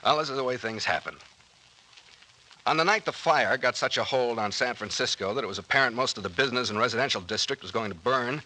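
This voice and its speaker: An actor playing a confident detective captain in a 1940s radio drama, brisk and slangy as he lays out a mystery. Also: medium pitch, sharp tone, rough recording.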